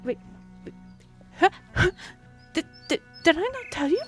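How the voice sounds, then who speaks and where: Young female half-elf liaison for fantasy audio drama, actress, high-pitched, slow-paced, earnest and pleading. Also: big pitch swings, a bit bright, faint music behind, exaggerated voice. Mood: flustered, apologetic. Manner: breathy sighs, stammering, pleading. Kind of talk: fantasy audio roleplay acting.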